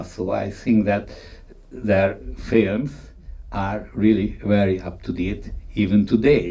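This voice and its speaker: Slow, distant man giving a talk. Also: lowish voice, vocal fry, dark tone. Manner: halting, emphatic, non-native English phrasing. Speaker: conference speaker on film history. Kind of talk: unscripted talk retelling film scenes.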